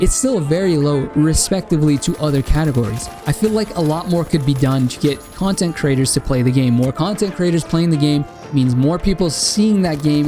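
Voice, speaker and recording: A man's voice: fairly high pitch, warm tone. A man giving a casual, opinionated game review straight to his viewers while he is losing his voice. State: losing his voice, dry mouth. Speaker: gaming content creator. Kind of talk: spoken game review and feedback.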